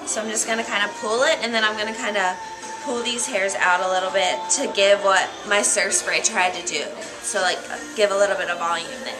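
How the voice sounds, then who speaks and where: Young woman, American accent, mid-pitched voice, easygoing casual tutorial delivery, clear music in the background, recorded in a salon. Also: brisk, rough recording. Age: young adult. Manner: informal, loosely phrased, easygoing. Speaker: hair stylist giving a tutorial. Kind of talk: casual how-to demonstration. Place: hair salon, indoors. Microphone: camera mic a short distance away.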